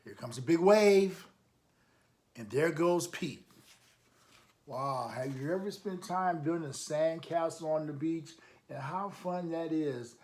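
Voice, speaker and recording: Slow-paced man reading to children. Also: fairly high pitch, a little mellow. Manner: encouraging, emphatic, asks listeners questions. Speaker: storytime reader, coach. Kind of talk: children's picture book read-aloud with asides.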